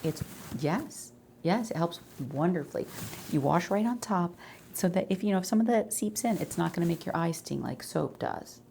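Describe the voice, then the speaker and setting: A woman speaks in a soft ASMR roleplay style as an eye doctor. Her voice sits a little low and has a strong creak. She explains things in a reassuring, conversational manner, with faint noise behind her. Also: lively intonation, normal speed. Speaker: ASMR creator role-playing an eye doctor.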